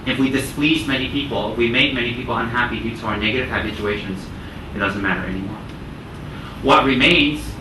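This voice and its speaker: A man giving a Buddhist teaching talk to a group, speaking deliberately and repeating phrases for emphasis. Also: mid-range voice. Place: indoor room with listeners. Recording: roomy, distant mic, clear air-conditioning noise.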